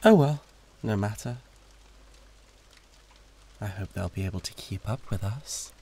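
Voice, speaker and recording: A man's voice: on the low side, lively intonation, measured pace, a little mellow, breathy edge, heavily acted. A British man playing a suave, condescending, flirtatious demon in a theatrical roleplay audio drama, with a haughty drawl. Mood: seductive.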